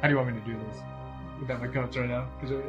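Mid-pitched man chatting while gaming. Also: steady tone, rapid-fire, over music. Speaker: tabletop game player. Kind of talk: casual table talk.

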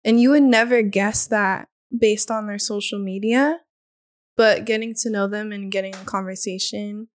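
A woman co-hosting a podcast, reflecting in a level, unhurried way about giving people the benefit of the doubt. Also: medium pitch, steady tone, no echo, studio quality. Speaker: podcast co-host. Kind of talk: casual podcast chat.

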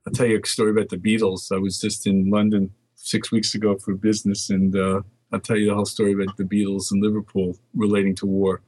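A man's voice: on the low side, monotone, fast talker, few pauses, darkish tone, husky, dry recording, rough recording. An older man from the Netherlands, a market research guest, chatting casually and reading long lists of dates aloud. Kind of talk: casual phone interview chat.

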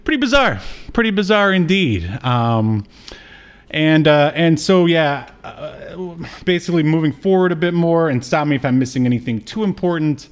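A man's voice: on the high side, lively intonation, normal speed, smooth. A man co-hosting a podcast, chatting casually and jokingly as he rambles through a recap of a TV show. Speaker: podcast co-host. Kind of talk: casual comedic recap chat about a TV show.

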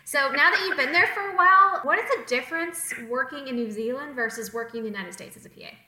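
A woman's voice: sits high, fast talker, pushed and bright. A woman co-hosting a podcast, interviewing a guest with enthusiastic, encouraging remarks. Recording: roomy echoey sound.

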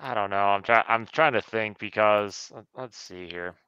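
Very clear-voiced man, casual review. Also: on the low side, normal speed, bright, forceful tone, no echo, lo-fi sound. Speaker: podcast co-host. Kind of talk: casual film review discussion.

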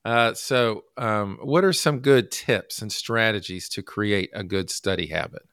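A man from the United States hosting a casual podcast interview, halting with many fillers and restarts. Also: on the low side, fairly bright tone, clear, resonant tone, no echo, studio quality. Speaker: podcast host.

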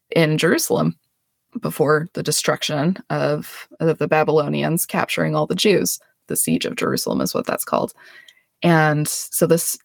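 Woman host, some vocal fry, dry close sound, earnest and chatty. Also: deep voice, no echo. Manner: earnest, self-deprecating, laughs often. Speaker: podcast host. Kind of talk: casual religious explanation.

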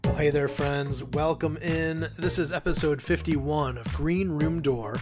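A man hosting a music interview show, his voice a little weak as he recovers from the flu, speaking in a relaxed way with many ums. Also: a little high, measured pace. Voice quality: slightly weak voice. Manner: relaxed, with frequent ums and uhs. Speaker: podcast host. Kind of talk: scripted show intro and interview questions.

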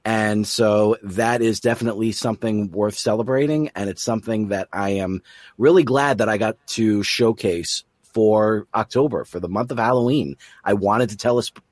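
A man's voice: lowish voice, normal speed, no room sound. A man hosting an interview podcast, speaking in an effusive, appreciative way. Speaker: podcast host and producer.

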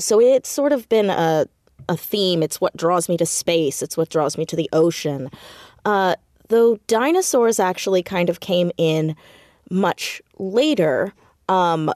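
A woman chatting casually as an interview guest, telling things in an animated, easygoing conversational way. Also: on the low side, no room sound, studio quality. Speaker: podcast guest, audio fiction writer and actor.

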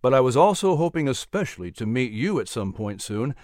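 A man playing a gracious young preacher in a storybook, speaking plainly, gently and sincerely. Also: medium pitch, sing-song, fairly quick, dry recording, clean studio sound, close to an everyday voice. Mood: humble, earnest. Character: humble, earnest, gracious young male preacher.

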